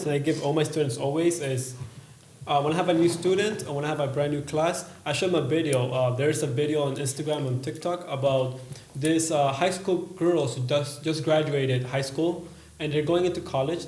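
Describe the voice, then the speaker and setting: A man speaks in a slightly raised voice, earnest and halting, stopping on uh between thoughts. The room around him is echoey. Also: on the high side, a little mellow, slight background noise. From USA. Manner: earnest, halting, many uhs. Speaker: English teacher. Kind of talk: casual podcast chat.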